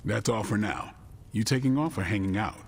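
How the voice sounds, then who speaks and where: A man's voice: on the low side, expressive, a little fast, gravelly, breathy edge, heavily acted. A man voicing a cool, terse fighter who leads a group in a video game, laconic and casual. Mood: cool, satisfied. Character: cool, terse male fighter and club leader. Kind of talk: video game cutscene acting. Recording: reverberant hall.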